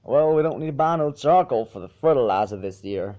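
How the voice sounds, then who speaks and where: A man voicing a big, bashful male farm pony, gruff and folksy. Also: medium pitch, animated, brisk, warm tone, muffled recording, natural voice. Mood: gruff, friendly.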